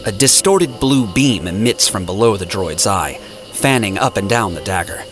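A man's voice: fairly low pitch, lively intonation, normal speed. A man reading scripted, dramatic story narration for an audio drama. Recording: music playing behind the voice.

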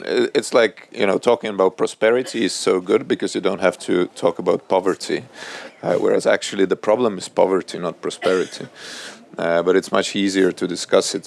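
Low, gravelly man with a halting delivery. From Estonia. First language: Russian. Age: 30s. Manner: halting, many fillers and restarts. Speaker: panel speaker. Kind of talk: panel discussion. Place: event hall before an audience.

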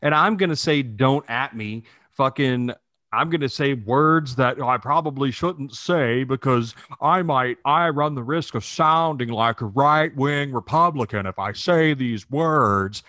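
A man's voice: medium pitch, a little slow, sharp tone, dry recording. A man co-hosting a casual podcast, giving blunt opinions in an emphatic, profane way. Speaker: podcast co-host.

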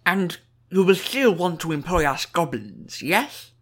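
A wily goblin banker from a fantasy audiobook, voiced by a man. He plays meek and agreeable, putting his questions in a halting, deferential way. The manner is servile and wheedling. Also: high voice, big pitch swings, a bit bright, slightly rough, voice pushed up, heavily acted. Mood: meekly wheedling. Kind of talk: audiobook character dialogue.

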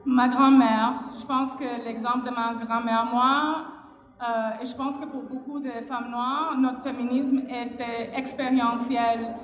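A woman answers spontaneously on a panel, pausing to find her words. Her voice sits a little high. The sound is echoey and somewhat dull, as if in a large hall. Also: level tone. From Montreal resident of Afro-Cuban origin. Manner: halting, reflective, searching for words. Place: public panel in a hall, through a passed microphone.

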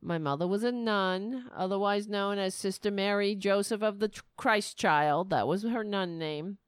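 A woman's voice: mid-range voice, normal speed, smooth, no echo, studio quality. A woman from Staten Island, telling a rambling, profane comic story in a casual monologue and laughing at her own jokes. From Staten Island, New York.

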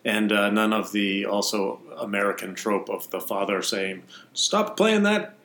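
A man hosting a podcast, reading a measured, descriptive introduction and then interviewing a guest at a busy festival. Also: on the low side, animated, fairly bright tone. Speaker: podcast host. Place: busy festival venue, stone hall. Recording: roomy, with music behind.

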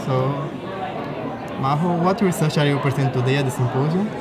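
A man's voice: fairly high pitch, a little fast. A young man from Brazil, a graduate student, chatting in an interview with many restarts and self-corrections. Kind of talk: casual conversational interview. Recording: loud crowd noise behind. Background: crowd chatter.

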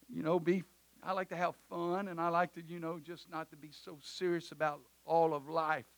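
Guy preaching in church, high voice, real bright, gets loud then goes quiet. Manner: loud, preaching, swings from shouting to quiet asides. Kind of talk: sermon in church service. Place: church sanctuary.

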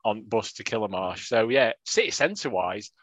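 A man's voice: on the low side, expressive, moderate pace, a bit bright, dry recording. A man, co-hosting a casual chat with wry, jokey banter. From England. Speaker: podcast co-host.

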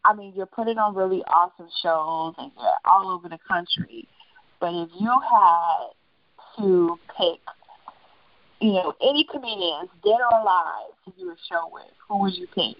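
Gravelly-voiced playful interviewer. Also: mid-range voice, moderate pace, no room sound, lo-fi sound. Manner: playful, teasing questions, laughs a lot. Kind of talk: casual interview chat.

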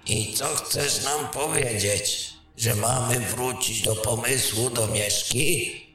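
A man's voice: mid-range voice, slow pace, a bit bright, soft background music. A man playing a querulous, pragmatic councillor in a fantasy audio drama, argumentative and sceptical. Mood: dismissive. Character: skeptical, pragmatic, querulous royal council member. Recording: very echoey room.

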